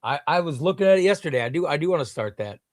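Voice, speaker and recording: A man's voice: fairly high pitch, expressive, very fast, no echo, studio quality. A man hosting a casual livestream, reading viewer comments aloud and gushing with enthusiasm, often repeating himself. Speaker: livestream host.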